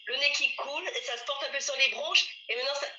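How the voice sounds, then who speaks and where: Une femme enrhumée, le nez pris, qui parle de façon bavarde et répète les phrases d'une séance de tapotement en appel vidéo. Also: female voice, high voice, quick pace, pushed and bright, dull audio. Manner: chatty, repeats herself, laughs often. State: congested, with a head cold. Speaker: participant in a group EFT session. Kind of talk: casual chat and repeating EFT phrases. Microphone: video call.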